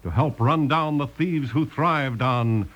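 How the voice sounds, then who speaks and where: A man's voice: mid-range voice, slow, a bit bright. A man with an American accent giving dramatic, declamatory scripted narration as an old-time radio announcer. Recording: dull vintage radio recording. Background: prominent music.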